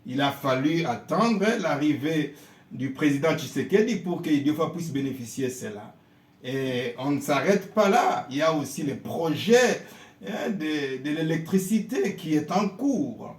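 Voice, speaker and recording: A man from Congo, a pastor answering interview questions in a slow, halting way and often repeating his words. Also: fairly high pitch, animated. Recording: roomy echo.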